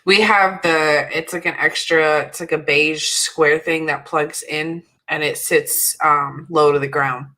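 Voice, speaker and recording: Woman, low pitch, bright sharp edge, voice going hoarse, chatty co-host. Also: fairly even intonation, measured pace, hardly any pauses. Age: adult student. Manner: chatty, self-deprecating, laughs easily. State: losing her voice. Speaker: podcast co-host. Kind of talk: casual chat.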